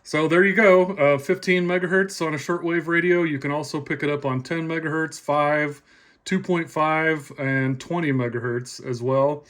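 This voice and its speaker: A man's voice: a little high, steady tone, moderate pace, a bit bright. A man giving a casual, plainspoken explanation to viewers, like a hobbyist presenting a demonstration.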